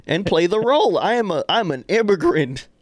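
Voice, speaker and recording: A man with a fairly high voice banters on a podcast, playful and boastful. He teases and brags in quick, lively bursts. The recording is dry and close. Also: no room sound. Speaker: podcast co-host. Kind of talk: casual banter.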